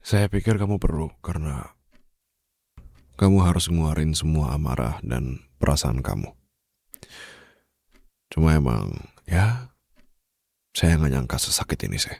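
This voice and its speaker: Casting: male actor as tender mafia boss lover, Indonesian accent, extremely low husky register, unhurried hushed delivery for intimate ASMR roleplay. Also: animated, no room sound, clean studio sound, exaggerated voice. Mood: teasing, sore. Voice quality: deep, gravelly, husky. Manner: slow, soft, hushed, pausing, gently reassuring. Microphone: close studio condenser microphone.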